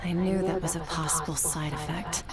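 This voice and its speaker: An actress playing a stoic young woman warrior in a video game, cool, measured and matter-of-fact. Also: low-pitched, steady tone, loud music behind the voice, lightly acted. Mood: guarded.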